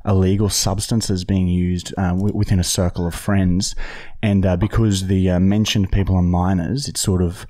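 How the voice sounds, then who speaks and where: Australian male podcast host, thirties, low register, casual mock-official phone patter, halting and matey. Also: level tone, warm tone. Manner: sweary, matey, hesitant phrasing. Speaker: podcast host making prank calls. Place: indoor podcast studio. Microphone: boom-arm studio microphone with headphones.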